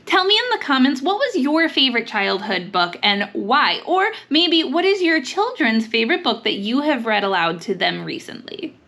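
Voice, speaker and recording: Woman, vlog host, high pitch, bright tone, upbeat, emphatic, recommending books. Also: big pitch swings, normal speed, few pauses. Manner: enthusiastic, emphatic.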